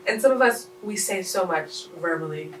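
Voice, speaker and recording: A woman's voice: lowish voice, expressive, moderate pace, roomy sound, far from the mic, a little hiss or hum, low-quality recording. A woman co-hosting a casual conversation, speaking emphatically and often jumping in with "hello" and "girl.".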